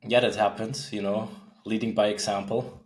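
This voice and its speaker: A young man in his twenties speaks in a low voice with an Austrian German accent. He talks casually, as a guest in a podcast interview. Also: level tone, normal speed. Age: 20s. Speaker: podcast guest, author and men's coach.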